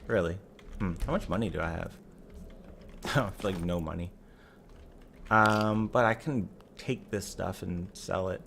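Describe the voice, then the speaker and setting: Guy streaming a game, lowish slightly raspy voice, thinks out loud and rambles, some noise behind him. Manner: rambling, self-correcting, thinking aloud. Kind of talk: casual live game playthrough commentary.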